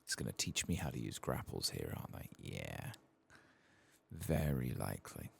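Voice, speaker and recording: A man with a gravelly, husky voice talking softly as he hosts an ASMR video game playthrough, at times drifting into whispers. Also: rumbling low, big pitch swings, moderate pace, slight background noise. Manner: soft-spoken, murmuring, drifting into whispers. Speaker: host playing a video game for an ASMR channel. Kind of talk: soft-spoken ASMR game playthrough, reading quests aloud.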